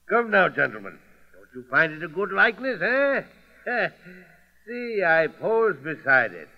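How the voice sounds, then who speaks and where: A muffled vintage radio recording of a swaggering, mocking pirate boss. He takes his time with a creaky, gravelly voice, taunting with mock courtesy and silky menace. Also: high-pitched, very animated, slow pace, pauses often, full character voice. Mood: mocking amusement. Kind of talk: old-time radio drama acting.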